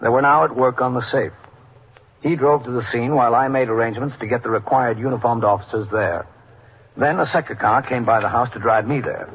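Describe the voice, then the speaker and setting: A man's voice: mid-range voice, a little fast, natural voice. Guy playing a weary old-time radio police captain, matter of fact and clipped, thin phone-like sound w some hiss. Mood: measured narration. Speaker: actor and narrator in a police drama. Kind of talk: classic radio police drama acting. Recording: narrow, noisy old radio recording.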